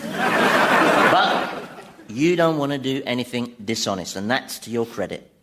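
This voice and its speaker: A man's voice: medium pitch, sing-song, unhurried, sharp tone, full character voice. An actor playing a sly, worldly male devil, wry and coaxing, in a radio sitcom. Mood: coaxing, mischievous.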